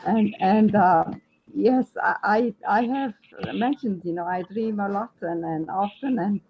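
A woman's voice: medium pitch, unhurried, slightly rough. A woman from Austria with an Austrian German accent, introducing herself hesitantly in a class, with many restarts. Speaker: class participant. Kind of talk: casual spoken introduction. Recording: muffled lo-fi call line with faint noise.

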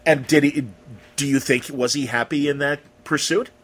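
A man with a husky, mid-pitched voice conducts a casual interview. His questions are informal and halting, wandering a little before they land. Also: fairly even intonation, fairly bright tone.

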